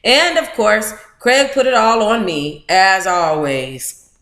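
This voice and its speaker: An American woman hosting a book club, reading aloud informally and breaking into animated, playful, candid asides. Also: medium pitch, slow, reverberant.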